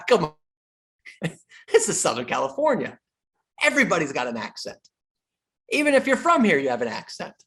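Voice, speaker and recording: A man's voice: high voice, huge pitch swings, normal speed, long pauses, bright, forceful tone. A middle-aged man giving a sales training talk as a real estate coach, emphatic, repeating his key points and joking with the audience.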